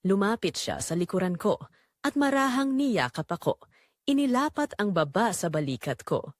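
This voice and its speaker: A woman with a Filipino accent narrates a dramatic first-person romance story in a measured, expressive way. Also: medium pitch, dry recording, clean studio sound.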